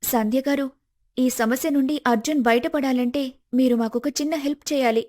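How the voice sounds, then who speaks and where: A woman voicing a suspicious, protective young woman in an animated moral story, earnest and probing. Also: high-pitched, normal speed. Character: suspicious, protective young woman helping her male friend.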